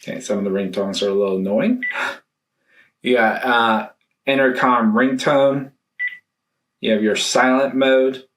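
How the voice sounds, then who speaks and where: A man giving a casual, hands-on product review, thinking aloud in a halting way. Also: mid-range voice, fairly even intonation. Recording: roomy echo, faint background noise.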